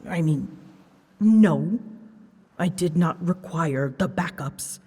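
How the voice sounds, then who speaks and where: A woman voicing an ancient, huge female dragon in a scripted roleplay drama, haughty and easily flustered, scolding her rider in formal, measured speech with hidden fondness. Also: fairly low pitch, lively intonation, mellow, full character voice. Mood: flustered, defensive. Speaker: voice actor. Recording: long reverb, clear background noise.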